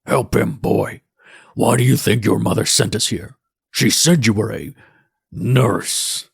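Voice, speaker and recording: A man voicing a menacing, contemptuous older crime boss in an audiobook, gravelly and raspy, with a slow, commanding delivery. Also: fairly low pitch, fairly even intonation, no room sound, studio quality, full character voice. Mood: contemptuous, demanding. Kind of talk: audiobook character dialogue.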